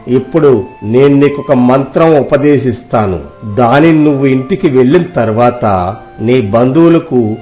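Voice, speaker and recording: Man playing a calm, preaching monk. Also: mid-range voice, close to an everyday voice. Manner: measured, preaching, fatherly. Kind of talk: Telugu moral story dramatization.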